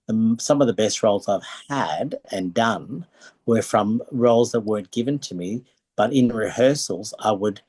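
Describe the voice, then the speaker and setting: A man's voice: fairly low pitch, darkish tone, breathy edge, no echo. An older man with an Australian accent reminiscing conversationally as an interview guest and telling anecdotes. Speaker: interview guest, retired dancer and performer.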